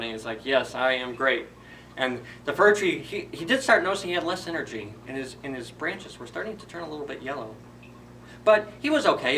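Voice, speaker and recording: Bright-voiced man telling a children's story. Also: mid-range voice, vocal fry, far from the mic, slight background noise, poor audio. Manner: simple repeated phrasing with questions to children. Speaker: library storyteller. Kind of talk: animated children's story retelling, informal.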